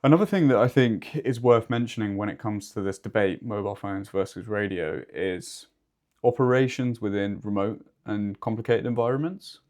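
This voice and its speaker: Low-pitched man co-hosting a podcast. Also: normal speed, a little mellow. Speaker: podcast co-host. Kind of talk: informal podcast discussion.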